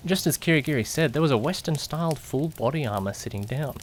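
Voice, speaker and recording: A man's voice: fairly high pitch, lively intonation, fast, slight background noise, close to an everyday voice. A man playing a curious, jittery young detective's companion who narrates a mystery audio drama with suspense and questioning asides. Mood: suspenseful, curious. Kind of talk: amateur audio drama narration in first person.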